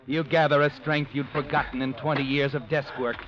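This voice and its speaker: An actor playing a sinister, all-knowing narrator, mocking and dramatic, in 1940s radio noir style. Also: male voice, mid-range voice, little pitch movement, a little fast, background noise, lightly acted. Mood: ominous, taunting. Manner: ominous, mocking, dramatic, addresses the character. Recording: muffled old radio recording. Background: dramatic music.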